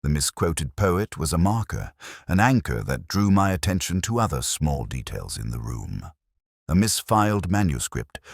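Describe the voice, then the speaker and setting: A man with an extremely deep voice narrates detective fiction in the first person. His delivery is deliberate and literary, with lively rises and falls in pitch. The sound is dry, free of room echo. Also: creaky. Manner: measured, literary, deliberate phrasing. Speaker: audiobook-style narrator.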